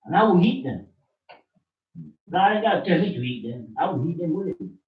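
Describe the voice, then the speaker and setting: A man's voice: a little high, big pitch swings, brisk. A man in a small study room speaking emphatically and fiery, repeating his points in an impassioned rant. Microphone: far room camera. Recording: echoey, distant, dull room recording.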